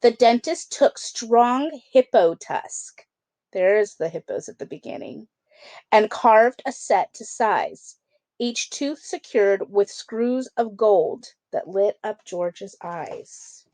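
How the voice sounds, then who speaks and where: A woman's voice: mid-range voice, expressive, slow, darkish tone, no room sound. A woman teacher reading a picture book aloud, adding conversational explanations and check-in questions for children.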